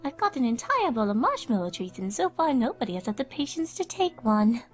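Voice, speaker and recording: A woman's voice: a little high, sing-song, fairly quick, soft background music, pitched above the performer's own voice. A woman voicing a refined, fussy female unicorn pony socialite in a fan fiction audio reading, with genteel manners. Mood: mildly disappointed.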